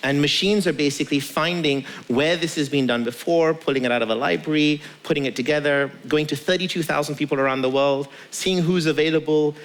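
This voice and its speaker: A man with a fairly high voice and a strong creak talks in a conversational interview style. He makes his points emphatically, repeating phrases as he explains. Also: fairly quick, few pauses. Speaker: tech company founder, guest.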